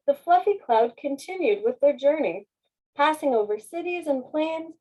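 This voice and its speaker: A woman author presents her picture book aloud. Her voice is high and soft-edged, and she takes her time over the phrases. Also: dull audio. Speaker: children's author reading aloud. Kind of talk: slow storybook narration.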